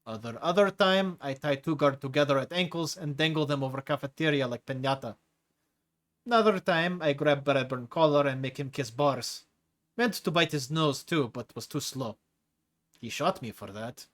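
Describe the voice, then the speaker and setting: A man's voice: medium pitch, moderate pace, fairly bright tone, natural voice. A man doing a cocky clawed tiger-man brute. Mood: boisterous. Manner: broken English in a thick accent; boastful, relishing violence. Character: hulking clawed male tiger-like prisoner, gruff, cocky.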